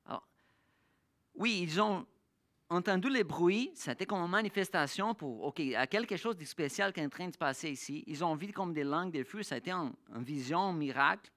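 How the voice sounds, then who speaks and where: Bright-voiced halting preacher. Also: mid-range voice, lively intonation. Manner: halting, with frequent restarts and searching pauses; slows down to read scripture aloud. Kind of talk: sermon with Bible reading. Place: church.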